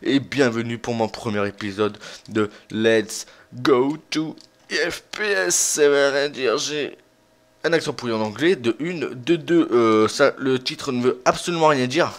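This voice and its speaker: A young man talks over a video game as its host. His voice sits at a medium pitch. He is informal and self-deprecating, rambling loosely through his commentary. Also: a little slow. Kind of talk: casual let's play commentary.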